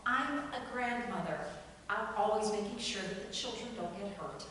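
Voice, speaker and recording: A woman's voice: mid-range voice, lively intonation, normal speed, fairly bright tone, close to an everyday voice. A woman acting a wary, sarcastic, protective grandmother at a table reading of a stage play. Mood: insistent. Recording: echoey hall from far off, faint noise.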